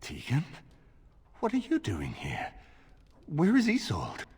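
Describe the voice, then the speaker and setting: An actor playing a grave, authoritative middle-aged lord in a video game, speaking in a formal, measured way with a husky voice. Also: male voice, on the high side, wildly swooping pitch, exaggerated voice. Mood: weak, anxious. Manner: formal, measured, stately delivery. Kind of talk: dramatic video game voice acting.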